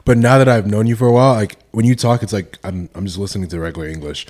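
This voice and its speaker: A man from Nigeria hosts a relaxed, slangy conversation. His voice is fairly low, and he asks his questions in an easygoing way. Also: fast. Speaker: host. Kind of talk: casual chat.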